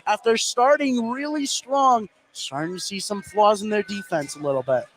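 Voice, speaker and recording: A man doing live play-by-play basketball commentary for radio, getting excitable on big plays. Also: sits high, normal speed. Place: indoor basketball gym. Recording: noisy live gym broadcast. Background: loud game ambience.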